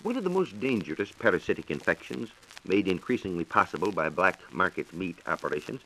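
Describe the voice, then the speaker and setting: Male American science reporter, 1940s radio. Lowish voice, highly varied intonation, formal and explanatory, hissy old disc. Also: lively intonation, brisk, a bit bright, low-quality recording. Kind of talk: 1940s scripted educational radio talk. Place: radio studio.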